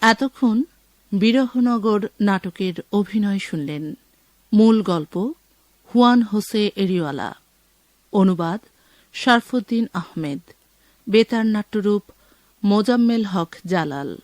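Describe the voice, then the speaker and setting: A man announcing in a formal, measured, scripted style as a radio presenter. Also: sits high, animated, a little mellow, no echo.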